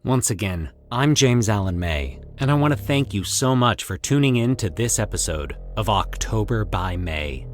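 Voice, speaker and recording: A man's voice: lowish voice, expressive, moderate pace, hardly any pauses, soft background music. A man narrating scripted dramatic fiction as the storyteller and host of a podcast.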